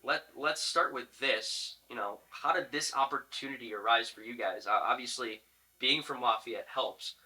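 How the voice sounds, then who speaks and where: Male interviewer, very bright and punchy tone, casual and chatty, rambles through his questions. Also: mid-range voice, normal speed, low-quality recording. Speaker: podcast host interviewing players. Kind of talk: casual sports interview chat.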